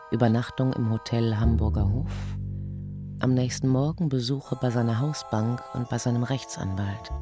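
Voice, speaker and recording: An actor playing a sober police detective, narrating a crime drama in a matter-of-fact way. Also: male voice, mid-range voice, vocal fry, lightly acted. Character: male police detective soberly recounting a murder case. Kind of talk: scripted first-person crime drama narration.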